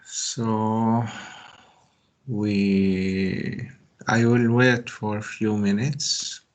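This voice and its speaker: Slow-spoken male lecturer. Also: fairly low pitch, little pitch movement. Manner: unhurried, short broken phrases. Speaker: university lecturer. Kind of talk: online class small talk before a lecture.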